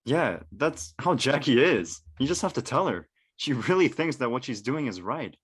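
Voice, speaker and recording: A woman's voice: rumbling low, big pitch swings, moderate pace, slightly rough, full character voice. A woman playing a laid-back, slangy teenage schoolboy in a drama read. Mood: easygoing. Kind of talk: audio drama table read acting.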